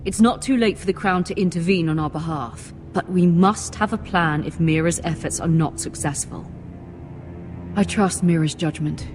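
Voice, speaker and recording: A poised noblewoman, acted by a woman in a fantasy game, protective and concerned. Her voice sits a little low as she firmly keeps the peace. Faint music runs beneath. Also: moderate pace, darkish tone, natural voice. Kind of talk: dramatic video game acting.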